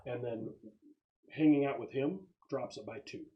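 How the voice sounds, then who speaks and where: A man's voice: medium pitch, moderate pace, muffled recording. A man narrating a tabletop roleplaying game as game master, explaining things in a casual, improvised way.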